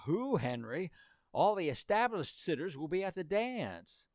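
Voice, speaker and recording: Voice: mid-range voice, sing-song, unhurried, darkish tone, no echo, clean studio sound. An actor playing an earnest, lovesick, hapless teenage boy in exclamatory, old-time radio comedy style. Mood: glum, puzzled. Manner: exclamatory, dim-witted, earnest boyish slang.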